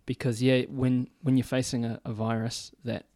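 A man's voice: mid-range voice, level tone, normal speed, dark tone, dry recording, clean studio sound. A man in his forties working in healthcare, talking thoughtfully in a casual chat, often restarting his sentences. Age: 40s. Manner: thoughtful, restarts a lot, talks with his hands. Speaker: healthcare worker. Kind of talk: casual conversation. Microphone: boom mic.

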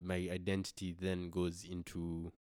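A young man from Kenya with a very low voice speaks as a podcast guest. He talks in a reflective, hesitant way, often restarting his sentences. The recording is dry and close to the mic. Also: completely flat delivery, normal speed, darkish tone, dry recording. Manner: thoughtful, hesitant with many restarts. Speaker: guest musician.

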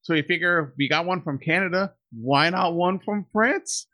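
A man's voice: high-pitched, moderate pace. A man co-hosting a casual movie podcast, telling rambling stories with plenty of asides. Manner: rambling, animated storytelling.

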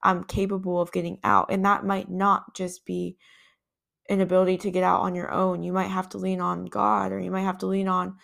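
A woman's voice: mid-range voice, completely flat delivery, a little fast, vocal fry, a bit bright. A woman hosting a podcast on her own, talking in an earnest, reflective way and thinking aloud with some restarts. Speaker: podcast host. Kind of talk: reflective solo podcast talk.

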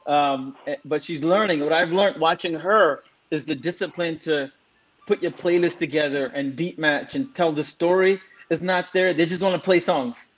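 A man's voice: a little high, normal speed, rough recording. A man in his forties, from Singapore, speaking as a guest in a frank, casual way with many fillers. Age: 40s. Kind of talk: casual interview answer.

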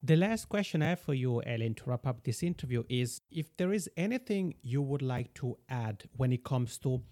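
A man's voice: medium pitch, dark tone, no room sound, clean studio sound. Swiss-born man hosting a podcast. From Switzerland. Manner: friendly, asks long questions. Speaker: podcast host. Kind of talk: interview podcast.

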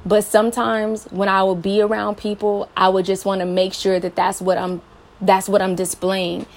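A woman's voice: mid-range voice, little pitch movement, normal speed. A woman in her late twenties hosting a personal advice podcast, talking earnestly and encouragingly, often asking her listeners rhetorical questions. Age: late 20s. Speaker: podcast host.

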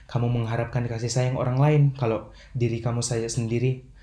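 A young man, a podcast guest, explaining in measured, formal phrasing with frequent fillers. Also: medium pitch, little pitch movement, dark tone. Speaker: podcast guest, language ambassador. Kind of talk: earnest motivational explaining. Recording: roomy echo.

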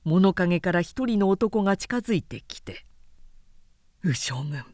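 A woman narrating a historical novel as a scripted recitation, measured and dramatic in delivery. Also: medium pitch, a little mellow, dry recording, studio quality.

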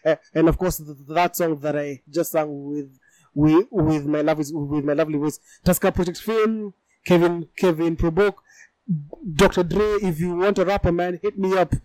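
A man's voice: on the high side, normal speed, no echo, poor audio. A man, co-hosting a podcast in casual, jokey chat, often repeating words as he gets going. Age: 20s. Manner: playful, jokey, repeats words when excited. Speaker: podcast co-host. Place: podcast studio.

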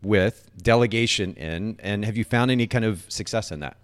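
A man's voice: lowish voice, moderate pace. A man hosting a live-streamed podcast interview, enthusiastic and repeating words as he starts sentences. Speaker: podcast host.

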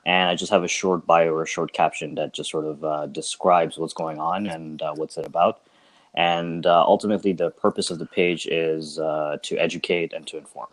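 A man's voice: extremely low pitch, normal speed, dry recording. A young man from Bangladesh who grew up in Canada, a podcast guest chatting casually with many fillers. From Bangladesh, raised in Canada. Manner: relaxed, thoughtful, many fillers.

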